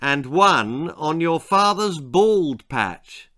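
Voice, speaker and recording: A man reads the part of a storybook mother who disapproves and feels queasy. The tone is pained and complaining, with animated pitch. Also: extremely slow, no echo, studio quality, exaggerated voice. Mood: disgusted.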